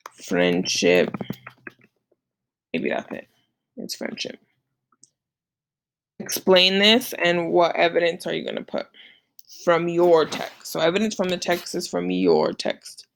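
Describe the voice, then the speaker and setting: A woman's voice: fairly low pitch, creaky. A woman teaching informally, walking students through an assignment in a conversational way and often checking in with short tag questions. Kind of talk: informal screen-share lesson, walking through slides.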